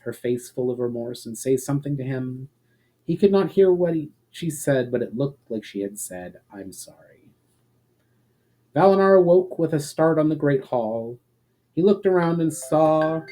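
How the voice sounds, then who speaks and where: Mellow male storyteller, expressive. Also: medium pitch. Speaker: narrator and author. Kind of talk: dramatic fantasy story reading.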